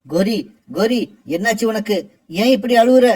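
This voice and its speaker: Brief: man as a caring husband crow, high-voiced, gentle and anxious, cartoon. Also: normal speed. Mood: alarmed. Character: devoted male husband crow. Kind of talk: cartoon voice acting.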